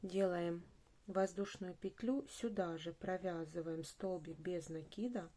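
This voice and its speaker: Slow, mellow-voiced woman teaching crochet. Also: lowish voice, steady tone. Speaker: crochet tutorial instructor. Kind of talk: step-by-step craft tutorial narration.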